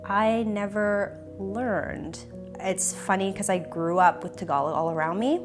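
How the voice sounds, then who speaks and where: A young woman from Canada with a Canadian accent, speaking reflectively in an interview, thoughtful and hesitant, often pausing and restarting. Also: mid-range voice, over music. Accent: Canadian English.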